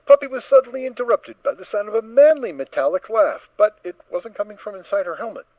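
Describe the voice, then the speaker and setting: A man's voice: high voice, fast talker, no echo, muffled recording. A man narrating a scripted story in a dramatic reading style.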